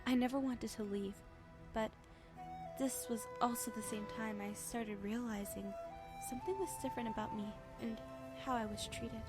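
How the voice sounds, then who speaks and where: An actress playing a troubled young woman in a game, speaking softly and earnestly about her past. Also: a little high, steady tone, normal speed, close to an everyday voice. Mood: wistful. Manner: wistful, soft-spoken, earnest. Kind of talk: game cutscene voice acting. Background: music.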